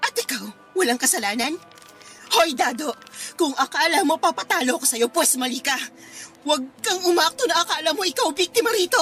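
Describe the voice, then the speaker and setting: A woman's voice: sits high, animated, brisk, bright, forceful tone. A woman playing a betrayed, fierce live-in girlfriend in a Filipino radio drama, scolding and accusing with raw emotion. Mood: bitter, enraged. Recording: music bed behind the voice.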